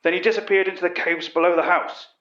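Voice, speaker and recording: An echoey, slightly dull recording has a storyteller putting on a male British police inspector. He is blunt and gruff with a highish pitch, in a dramatic horror tale. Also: steady tone, fast, fairly bright tone. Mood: eager. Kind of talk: storytelling character voice.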